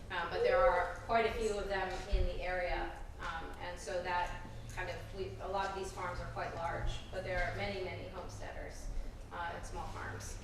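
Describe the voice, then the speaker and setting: A woman moderating a small farmers' forum in a town hall, friendly and informal with plenty of fillers. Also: fairly low pitch. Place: wood-panelled town hall with seated audience. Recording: very echoey hall from far off, noisy.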